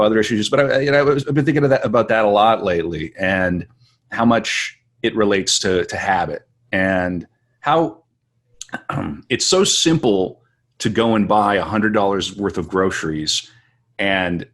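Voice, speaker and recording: A man's voice: fairly low pitch, expressive, unhurried, a bit bright. A man from western North Dakota hosting a podcast, musing in a reflective, rambling way. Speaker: podcast host. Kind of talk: casual conversation.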